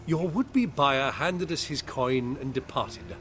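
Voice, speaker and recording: An actor playing a smug, menacing crime boss in a video game, with mock courtesy and sardonic, formal phrasing. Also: male voice, mid-range voice, expressive, takes it slowly, music in the background. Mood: smug menace. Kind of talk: video game villain acting.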